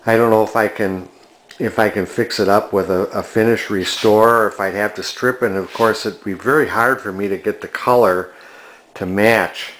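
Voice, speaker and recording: A man giving a casual, unscripted walk-through as a collector, talking in a halting, musing way. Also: on the low side, steady tone, fairly bright tone. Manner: hesitant, musing, halting delivery. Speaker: vintage electronics collector presenting a find.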